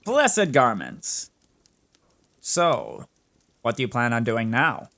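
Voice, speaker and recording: A man voicing a suave, genteel, coolly menacing gentleman hunter in a dramatic comic dub, with wordy courtly phrasing. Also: medium pitch, huge pitch swings, slow pace, heavily acted. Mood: soothing, courtly. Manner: genteel, wordy, calls people dear and darling. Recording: voice over clear background music.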